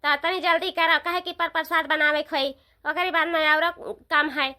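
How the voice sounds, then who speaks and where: A woman's voice: very high voice, steady tone, moderate pace, pushed and bright. A woman voicing a bossy, gossipy village sister-in-law in a comedy cartoon, emphatic and repetitive with an Awadhi accent. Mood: urging, eager.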